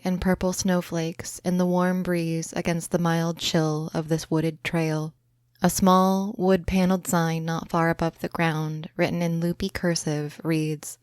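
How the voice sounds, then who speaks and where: Soft-spoken woman guiding a meditation. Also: on the low side, smooth, no room sound, clean studio sound. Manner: slow, soft and soothing. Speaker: meditation guide. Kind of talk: scripted guided imagery narration.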